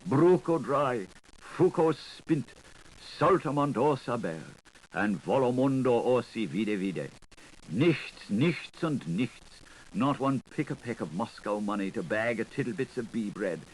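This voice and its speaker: A man narrating a literary audiobook in an expressive, theatrical way, playful with wordplay and sound effects. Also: medium pitch, unhurried, poor audio. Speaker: audiobook reader. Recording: 1980s audiobook recording with audible background noise.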